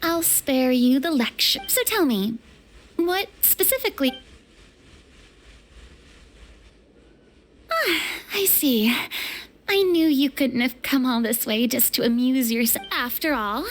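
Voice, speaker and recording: Casting: young retired diva for a game, high voice, proud, dramatic, guarded; faint background noise. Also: female voice, lively intonation, a little slow. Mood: haughty. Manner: theatrical, haughty, hesitant when pressed. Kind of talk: video game character voice acting.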